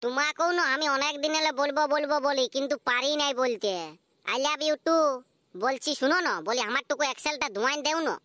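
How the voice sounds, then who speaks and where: A man's voice: very high-pitched, pushed and bright, no room sound. A man voicing a bossy, crafty young married village woman in a comedy cartoon, with a Purulia Bengali accent. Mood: coaxing. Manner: hurried, demanding, coaxing.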